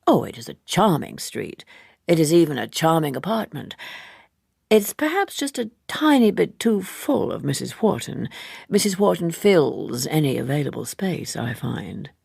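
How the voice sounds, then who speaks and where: A woman voicing an urbane, faintly bored gentleman in an audiobook, with a languid, dry, fastidious manner. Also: low-pitched, very animated, creaky, slightly rough, no echo, full character voice. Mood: dryly amused. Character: urbane man, languid, faintly bored, dryly critical letter-like correspondent.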